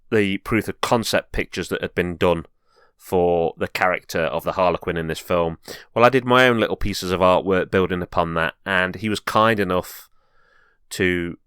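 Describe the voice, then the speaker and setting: A man's voice: low-pitched, fairly bright tone, clean tone, no echo, studio quality. A man presenting a semi-scripted review as host, speaking in an earnest, enthusiastic way. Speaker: host and reviewer of horror films.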